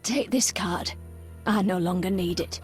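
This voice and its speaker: An actress playing a solemn, cryptic female village elder in a video game, speaking in measured, archaic phrases. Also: lowish voice, soft background music, lightly acted. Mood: grave storytelling.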